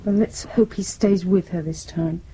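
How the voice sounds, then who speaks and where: A woman's voice: fairly low pitch, fairly even intonation, normal speed, warm tone, slight background noise, close to an everyday voice, low-quality recording. An actress playing an anxious young British woman in a radio drama. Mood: sleepy, subdued. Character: soft, anxious young woman worried about her parents.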